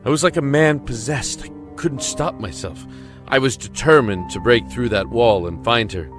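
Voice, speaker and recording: A man's voice: mid-range voice, normal speed, fairly bright tone, soft background music, natural voice. An actor playing a desperate imprisoned man in an audio drama, telling his story in an earnest, emotive, brooding way. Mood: brooding, haunted. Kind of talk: dramatic first-person audio drama narration.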